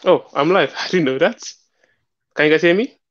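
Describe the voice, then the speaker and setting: A man's voice: on the high side, normal speed, fairly bright tone, no echo. A young man with a Nigerian accent, calling in to a livestream and explaining things in a casual, chatty way. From southern Nigeria. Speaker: caller on a livestream. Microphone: headset boom mic. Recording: slightly muffled video-call headset audio.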